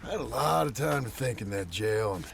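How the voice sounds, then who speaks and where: Gravelly, troubled outlaw. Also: male voice, mid-range voice, animated, brisk, slight background noise. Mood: uneasy, disillusioned. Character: troubled outlaw husband and father, doubtful, loyal. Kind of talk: video game cutscene acting.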